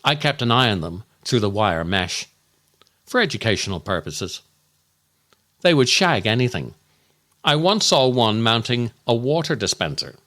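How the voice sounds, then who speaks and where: A man delivering a scripted comic monologue as a storyteller, with dramatic, deliberate and darkly comic delivery. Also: medium pitch, sing-song, pushed and bright. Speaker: comedian and podcast host.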